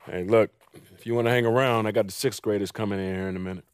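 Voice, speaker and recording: A middle-aged American actor playing a gruff, teasing veteran high school basketball coach in a video game, with a dry folksy manner. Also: male voice, fairly even intonation, fast, dry recording, close to an everyday voice. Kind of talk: naturalistic video game cutscene acting.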